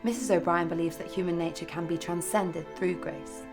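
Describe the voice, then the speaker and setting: A woman's voice: fairly low pitch, fairly even intonation, a little fast, gravelly, loud music behind the voice. A woman reading a scripted video essay as its narrator.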